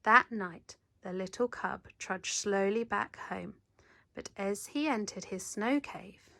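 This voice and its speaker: A woman reading a children's picture book aloud in an animated, dramatic storyteller's manner. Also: mid-range voice, slow, gravelly. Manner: animated, dramatic, leans into sound words. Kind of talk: expressive read-aloud narration.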